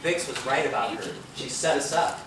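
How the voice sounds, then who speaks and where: A man's voice: mid-range voice, expressive, normal speed, lightly acted. A sullen young rebel played by a man on stage gives curt, bitter replies. The sound is distant, noisy and full of echo. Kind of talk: stage play acting. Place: theatre stage, picked up from a distance. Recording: echoey, noisy stage videotape from far off.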